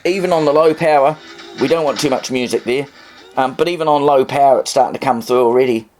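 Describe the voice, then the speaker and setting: A man's voice: mid-range voice, quick pace, darkish tone, faint music behind. Rambling New man demonstrating gear. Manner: rambling, hesitant. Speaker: vintage radio hobbyist presenter. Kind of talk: casual demonstration commentary.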